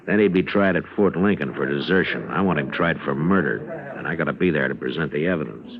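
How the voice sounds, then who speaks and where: An actor playing a dogged, world-weary western marshal in an old radio drama, speaking plainly and firmly with a weighty voice. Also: male voice, fast talker, vocal fry, a crowd in the background. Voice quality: deep, weighty. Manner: plain-spoken, firm. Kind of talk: 1950s radio western acting and narration. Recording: muffled old radio broadcast, music behind.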